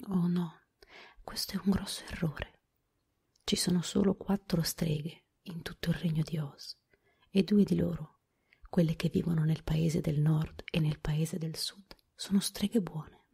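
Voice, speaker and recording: A woman voicing a kind, calm little old witch in a whispered storybook reading. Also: sits low, a little slow, gravelly, slightly rough, exaggerated voice. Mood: gentle.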